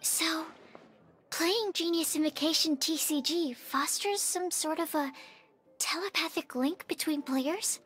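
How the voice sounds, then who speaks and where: A woman voicing a tiny childlike girl companion in a video game, squeaky, blunt and excitable. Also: measured pace. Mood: doubtful. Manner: squeaky, blunt, refers to self in third person. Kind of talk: video game cartoon-like acting. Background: game music.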